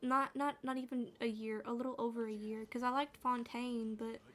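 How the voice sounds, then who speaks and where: A woman gaming streamer with a naturally quiet, soothing voice, chatting in a loose, rambling way while she plays. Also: fairly high pitch, little pitch movement, normal speed, slight background noise. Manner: rambling, with fillers and restarts. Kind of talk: soft-spoken gaming chat.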